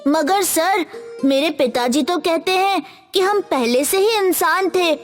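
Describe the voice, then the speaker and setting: A woman voicing a polite, questioning little school child in an animated story, in a reverberant recording. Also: very high-pitched, slow, soft background music. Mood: curious. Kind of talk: Hindi animated story voice acting. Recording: long reverb around the voice.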